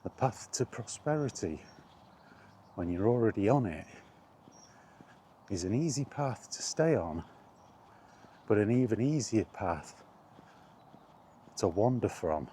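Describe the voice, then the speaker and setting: A middle-aged man in an unhurried, halting, reflective solo chat as a gaming vlogger. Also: fairly low pitch, lively intonation, dark tone, slight background noise. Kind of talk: rambling casual chat about video games.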